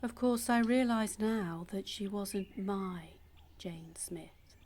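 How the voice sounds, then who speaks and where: A woman acting an intimate audio-play monologue as a calm, obsessive, secretly dangerous woman, confiding in a measured, often hushed and breathy way. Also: medium pitch. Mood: calm, eerily reassuring. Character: calm, obsessive, delusional woman abandoned as a baby, secretly murderous. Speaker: actor in a monologue.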